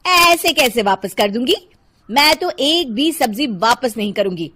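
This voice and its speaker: A woman voicing an arrogant, sharp-tongued young housewife who talks rudely and scornfully in a cartoon story. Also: on the high side, lively intonation, measured pace, full character voice. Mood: haughty.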